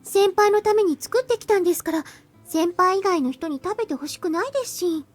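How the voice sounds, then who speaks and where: A woman's voice: very high-pitched, expressive, very fast, faint music behind. A young woman playing a cute, devoted schoolgirl junior in a visual novel game. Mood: cute, slightly pouty. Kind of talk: Japanese visual novel voice acting.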